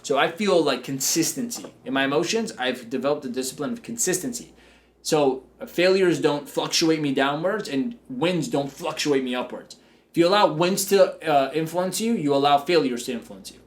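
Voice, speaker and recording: Male coach-host, confident and upbeat, playful, slightly higher voice. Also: expressive, moderate pace. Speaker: business coach and show host. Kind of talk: motivational coaching talk.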